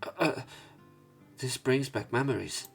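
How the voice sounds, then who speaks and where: A man doing a let's play, reading visual novel narration and dialogue aloud, somewhat haltingly, correcting himself as he goes. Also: mid-range voice, vocal fry, breathy edge. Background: game music.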